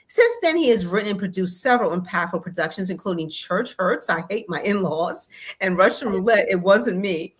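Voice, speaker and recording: A woman's voice: medium pitch, lively intonation, a little fast. An American woman from the East Coast, speaking as a show co-host and giving introductions. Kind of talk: upbeat show introduction and guest introduction.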